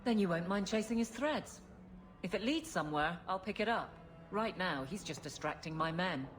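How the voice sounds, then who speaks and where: Actress as a brusque, low-voiced female guard captain. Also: expressive, normal speed, soft background music, close to an everyday voice. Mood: exasperated, firm. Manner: blunt, dry, exasperated. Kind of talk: video game dialogue acting.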